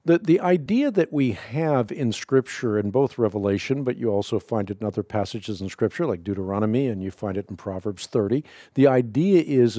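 A man's voice: mid-range voice, mellow, no echo, clean studio sound. A middle-aged man, a native Dutch speaker, hosting a radio call-in show and answering Bible questions in a precise, lecturing, emphatic way. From Netherlands.

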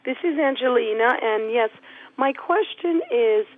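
A woman's voice: a little high, animated, unhurried, dry recording. A woman calling in to a radio show, asking her question politely and plainly. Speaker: caller. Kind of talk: casual phone call. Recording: tinny phone line.

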